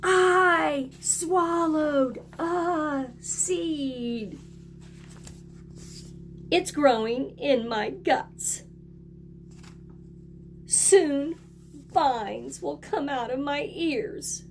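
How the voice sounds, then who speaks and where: A woman voicing a melodramatic young crocodile from a storybook, with exaggerated, drawn-out delivery. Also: high voice, faint music behind, voice pushed up, full character voice. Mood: panicked, pleading. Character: melodramatic young crocodile who adores watermelon.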